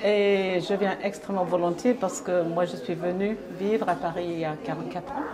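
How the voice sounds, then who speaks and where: Older English woman, low voice, English accent, thoughtful interviewee, crowd chatter behind. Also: steady tone. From England. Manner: slow, thoughtful, restarts phrases. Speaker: event attendee. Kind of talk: vox pop interview. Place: event venue.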